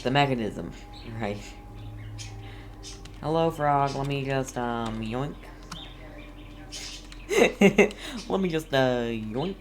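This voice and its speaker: A man streaming a video game with casual, playful commentary. He talks slowly and haltingly, often muttering, while game ambience is clearly audible behind him. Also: medium pitch, animated, slight background noise.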